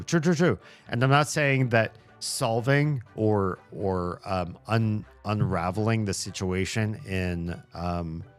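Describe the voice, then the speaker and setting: A man streaming and answering chat in a casual, hesitant way, thinking aloud with many pauses and fillers. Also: low-pitched, clean tone, no echo.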